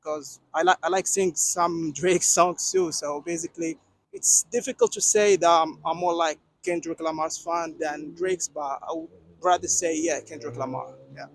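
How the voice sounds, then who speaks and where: A man's voice: fairly high pitch, lively intonation, moderate pace, gravelly, a little hiss or hum, low-quality recording. A man from Morocco, a tourist answering a street interview outdoors, hesitant and searching for his words.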